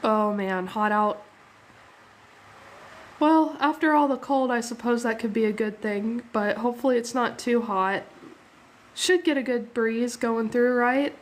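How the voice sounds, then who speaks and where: A woman's voice: a little high, unhurried, a little hiss or hum. Sniffly young woman chatting while she draws. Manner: chatty, thanks viewers, laughs easily. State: slightly sniffly. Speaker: art livestream host. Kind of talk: casual live drawing chat.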